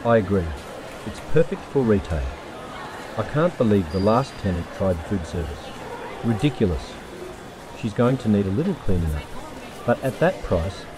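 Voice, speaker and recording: A man's voice: on the low side, very animated, normal speed, pauses often, background noise. A man playing a smug, haughty businessman in a sci-fi audio drama.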